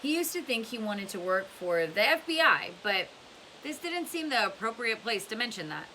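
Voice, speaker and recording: A woman's voice: fairly high pitch, big pitch swings, normal speed, pushed and bright. A woman narrating a storybook aloud, with hiss in the recording. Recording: clear background hiss.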